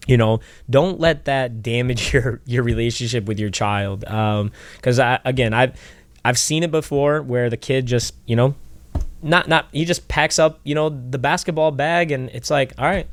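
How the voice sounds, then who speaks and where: A man co-hosting a podcast as a basketball trainer, talking loudly with lots of fillers and restarts. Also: mid-range voice, no echo. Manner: loud, rambling with many fillers and restarts. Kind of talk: casual podcast chat.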